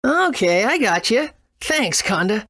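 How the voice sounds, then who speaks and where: A woman voicing an earnest, gentle young boy hero in a video game, with a husky tone. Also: fairly high pitch, sing-song, a little slow, no echo, clean studio sound, exaggerated voice. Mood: curious.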